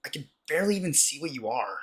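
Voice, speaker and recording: A man's voice: mid-range voice, sing-song, quick pace, fairly bright tone, breathy edge. A man playing a frightened but defiant skeptic in a horror audio drama, agitated and confrontational. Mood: startled, defiant. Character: frightened, defiant, foul-mouthed male skeptic cornered by a stranger.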